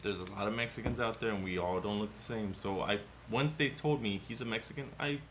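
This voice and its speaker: Low-voiced man rambling about movies. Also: brisk, background noise. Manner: animated, swearing, rambling. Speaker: podcast co-host. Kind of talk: casual movie review chat.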